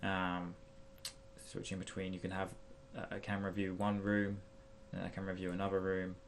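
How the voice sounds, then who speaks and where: A man with a fairly low, creaky voice hosts a software tutorial in a casual style. He thinks aloud as he demonstrates, feeling his way through each step with some hesitation. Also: completely flat delivery, moderate pace, slight background noise. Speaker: tutorial host.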